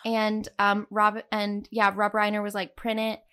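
A woman's voice: mid-range voice, unhurried, smooth. A woman co-hosting a podcast, chatting casually and animatedly, acting out quotes as she tells stories. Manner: animated, giggly, acts out quotes. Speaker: podcast co-host. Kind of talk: casual, gushing film chat.

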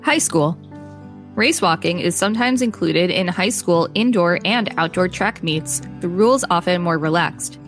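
Female podcast co-host, lowish register, reads reference text with offhand asides, faint music underneath. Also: moderate pace. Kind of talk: reading an encyclopedia article aloud with casual asides.

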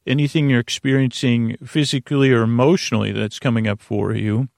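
A man's voice: mid-range voice, fairly even intonation, creaky, a little mellow, no room sound, clean studio sound. A man hosting a bedtime podcast in a rambling monologue, stammering and restarting often, with whimsical, reassuring asides. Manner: meandering, halting, stammering with repeated false starts; whimsical, goofy wordplay in soothing reassurance. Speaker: podcast host.

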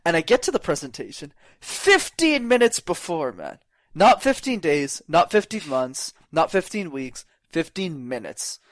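A young man chatting energetically as a guest coach. His pitch sits a little high and swings widely as he acts out little voices. The sound is close and dry. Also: big pitch swings, a little slow, no room sound. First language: not English; speaks French as second language. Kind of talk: animated podcast conversation.

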